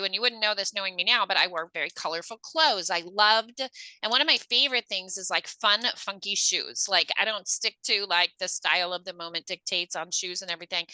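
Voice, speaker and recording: A woman's voice: medium pitch, sharp tone, no echo, low-quality recording. A woman hosting a podcast, telling personal stories in a rambling, self-aware way during a casual interview. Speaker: podcast host.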